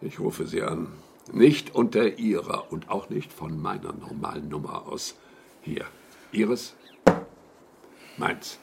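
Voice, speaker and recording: An actor playing a wry, self-satisfied informant in a radio crime drama, confiding with drawled hesitations and irony. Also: male voice, sits low, slow, creaky, long pauses, background noise, exaggerated voice. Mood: shrewd, confiding. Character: wry, self-satisfied male insider, shrewd secretive informant.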